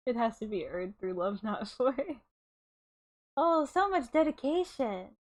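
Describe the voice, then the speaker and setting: High-voiced, playful young-sounding woman streamer. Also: big pitch swings, slow pace. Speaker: streamer reading a light novel aloud. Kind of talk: casual livestream readalong and chat.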